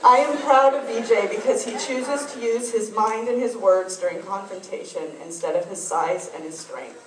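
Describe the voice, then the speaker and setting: A middle-aged woman giving a proud, measured speech about her son at a school assembly. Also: mid-range voice, crowd noise. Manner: proud, measured, reads a list of praise. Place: large school assembly hall. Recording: echoey gym recorded from far off.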